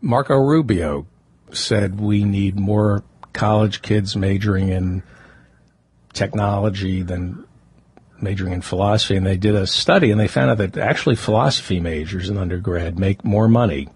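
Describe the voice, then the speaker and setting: A man with a husky voice hosting a casual interview, talking anecdotally in halting runs with repeated words. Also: fairly low pitch, a little mellow. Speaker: podcast host and comedian.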